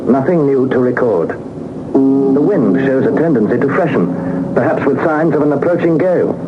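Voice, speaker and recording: An actor playing an anxious young British gentleman explorer, narrating his journal formally and deliberately in a 1960s radio serial. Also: mid-range voice, expressive, close to an everyday voice. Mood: wondering. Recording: noisy, muffled 1960s radio broadcast.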